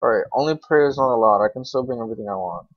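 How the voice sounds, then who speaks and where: A man's voice: mid-range voice, racing, no room sound. A young adult man giving casual gameplay commentary in an informal, grumbling, sweary way. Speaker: gaming video creator. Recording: dull lo-fi microphone, dry.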